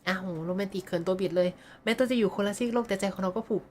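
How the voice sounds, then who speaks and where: A woman's voice: mid-range voice, fairly even intonation, very fast, a little mellow, a little hiss or hum. A woman streamer chatting casually while playing, reading along and joking. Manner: chatty, reads along and jokes. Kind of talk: casual game commentary.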